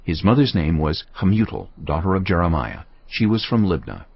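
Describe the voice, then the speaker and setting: A man reading scripture as a dramatised audio Bible narration, solemn and measured in his storytelling. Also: low-pitched, sing-song, gravelly, husky, dry recording. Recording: slightly dull audio under background music. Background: background music.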